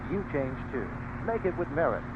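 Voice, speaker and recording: A man's voice: a little high, sing-song, normal speed. A man reading a scripted menswear commercial as an announcer on 1970s radio. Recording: noisy, tinny 1970s AM radio recording.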